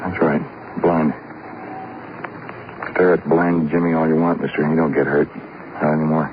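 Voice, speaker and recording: Very deep, bitter blind veteran. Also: male voice, level tone, normal speed. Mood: bitter, flat. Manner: bitter, terse, broken phrases. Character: bitter, grieving blind male army veteran. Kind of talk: 1950s radio drama acting. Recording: tinny, very noisy old radio recording.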